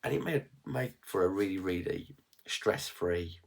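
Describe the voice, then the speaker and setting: Nasal, gravelly-voiced man with a cold. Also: sits low, unhurried. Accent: West Midlands English. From England. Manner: rambling, frank, with fillers and restarts. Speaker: frugal living vlogger. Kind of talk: casual reflective vlog.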